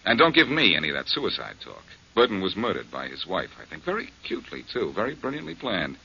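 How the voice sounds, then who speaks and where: A hard-boiled, sardonic detective in a 1940s radio drama. His voice is low and husky yet bright and sharp, and it swings widely in pitch. The sound is muffled, like an old broadcast. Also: wildly swooping pitch, fast talker, heavily acted. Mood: menacing. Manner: menacing, sardonic. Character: tough, wisecracking male detective.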